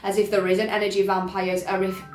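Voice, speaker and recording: A woman talks informally in a roomy, echoing space, like a spiritual teacher addressing her followers. Her pitch sits in the middle for a woman. She is emphatic, circles back over each point and keeps checking that listeners are with her. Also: flat delivery, fairly quick, faint music behind. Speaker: spiritual teacher presenting a self-help video. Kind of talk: informal explanatory talk to camera. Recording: echoey, roomy sound.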